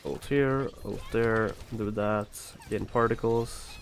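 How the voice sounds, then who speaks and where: Male streamer for casual gameplay talk: slow, hesitant, crackly low-effort phrasing over light noise. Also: fairly even intonation. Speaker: livestreamer. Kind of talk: casual gameplay commentary with chat replies.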